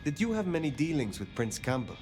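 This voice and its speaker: An actor playing a formal, probing elder vampire in a video game, with measured phrasing. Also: male voice, a little high, big pitch swings, a little mellow. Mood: inquiring. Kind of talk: video game dialogue acting. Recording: music behind the voice.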